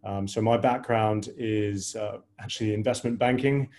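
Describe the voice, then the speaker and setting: A man's voice: fairly low pitch, steady tone, normal speed, darkish tone. A middle-aged man introducing himself as an investor on a remote panel. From Hawaii, United States.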